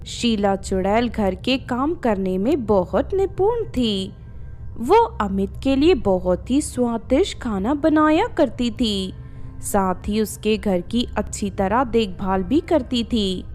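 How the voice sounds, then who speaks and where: A woman's voice: on the high side, animated, takes it slowly, a little mellow, faint music behind. A woman narrating a scripted children's story as its storyteller.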